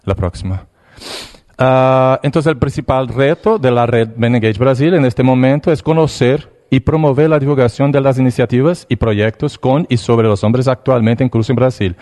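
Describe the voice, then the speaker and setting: A man's voice: mid-range voice, darkish tone. Hesitant Brazilian man presenting. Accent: Brazilian Portuguese. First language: Portuguese. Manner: hesitant, pausing, restarts words. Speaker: conference presenter. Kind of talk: presentation with slides.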